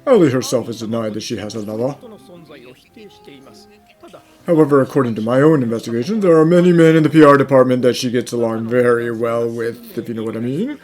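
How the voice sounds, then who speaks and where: A man voicing a smug, self-assured office colleague in an animated fan dub, with a suave, insinuating way of talking. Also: mid-range voice, fast talker, hardly any pauses, faint music behind, natural voice.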